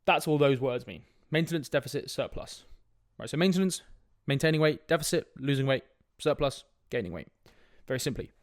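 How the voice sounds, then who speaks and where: A man's voice: medium pitch, animated, a little fast, few pauses, no room sound, clean studio sound. A young man with a sniffly cold, hosting a casual solo fitness podcast in a direct, laid-back way with frequent "right?" asides. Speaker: fitness coach podcast host.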